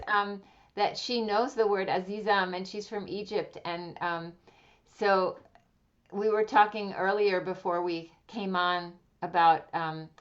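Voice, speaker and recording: A woman hosting an online interview over a video call. She talks conversationally and haltingly, with plenty of fillers as she frames her questions. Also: fairly low pitch. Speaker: host. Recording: dull, lo-fi video call audio.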